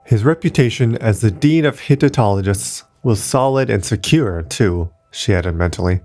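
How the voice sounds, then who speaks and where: A man narrating a short science fiction story in a steady, measured way. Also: lowish voice, soft background music. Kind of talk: scripted audiobook narration.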